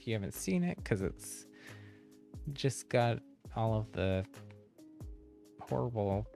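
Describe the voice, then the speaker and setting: A man's voice: on the low side, darkish tone. A man chatting casually on a game livestream in a rambling, easygoing way. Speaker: live streamer.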